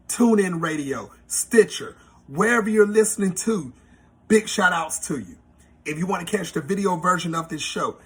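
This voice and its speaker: A man's voice: fairly high pitch, a bit bright, husky. A man hosting a podcast interview, talking in a loose, slangy, hype-host way.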